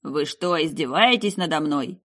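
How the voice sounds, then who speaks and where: A woman's voice: deep voice, unhurried, a bit bright. Woman acting a curt, grumbling platoon commander. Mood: indignant. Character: gruff, suspicious male military platoon commander.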